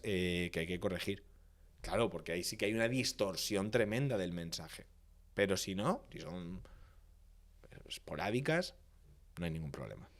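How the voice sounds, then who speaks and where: A man from Valencia, Spain, with a voice a little on the low side lays out his advice as a public speaking expert. He talks in a teacherly, emphatic way, stacking repeated phrases for rhythm, as a guest on a podcast. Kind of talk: podcast interview, explanatory.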